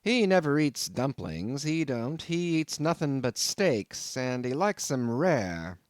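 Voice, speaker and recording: A man's voice: medium pitch, sing-song, takes it slowly, no room sound, pitched above the performer's own voice. A man voicing a jovial, sly innkeeper in an audiobook, with rustic dialect and a hearty, teasing manner. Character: jovial, sly, folksy old-time innkeeper landlord. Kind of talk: audiobook character voice.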